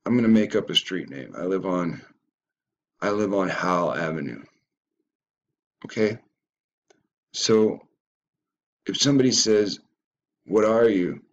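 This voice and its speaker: Low-voiced man ranting in commentary. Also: animated, unhurried, long pauses, muffled recording. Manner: ranting, stumbles and repeats words. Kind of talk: reaction commentary on a video.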